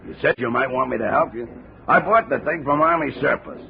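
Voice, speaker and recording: A man acts out a gruff, wry American country lawman in a vintage radio play. His mid-pitched voice is gravelly and folksy, over a dull recording with some hiss. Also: fairly quick, natural voice. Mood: wry, approving. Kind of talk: 1950s radio drama acting.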